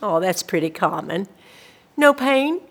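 A woman's voice: mid-range voice, lively intonation, a little slow. A lady social worker in a radio play, super polite, bureaucratic, keeps reassuring but won't let it go. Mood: pleasant, solicitous. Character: polite, persistent female government social worker, evasive and officious. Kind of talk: scripted audio drama dialogue.

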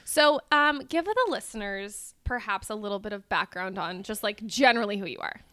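A woman's voice: mid-range voice, expressive, normal speed, sharp tone, clear, resonant tone, dry recording, studio quality. A young woman co-hosting a podcast, chatting casually and rambling with many fillers like "um" and "like". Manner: rambling, filler-heavy, lots of "like" and "um". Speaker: podcast co-host. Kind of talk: casual chat.

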